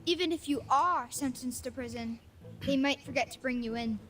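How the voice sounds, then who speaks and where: A woman's voice: sits high, normal speed, a bit bright. A woman voicing a talkative, street-smart kid in a game, with a brash, mocking manner. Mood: sly. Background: game music.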